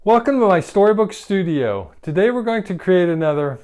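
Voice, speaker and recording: A man in his fifties presenting a drawing and story show to children in an animated style. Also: high-pitched, brisk.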